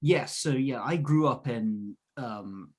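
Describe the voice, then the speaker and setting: A young man from the south of England, co-hosting a political chat in a measured, thoughtful way, often correcting himself mid-sentence. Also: medium pitch, crowd noise. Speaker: podcast co-host. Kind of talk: casual political discussion.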